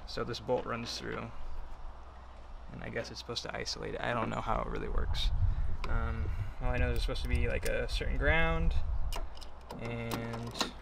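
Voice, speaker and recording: A man's voice: mid-range voice, fairly even intonation, normal speed, creaky, background noise. A man giving casual, offhand hands-on how-to commentary, half muttering to himself as he works. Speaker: DIY vlogger installing a CB radio.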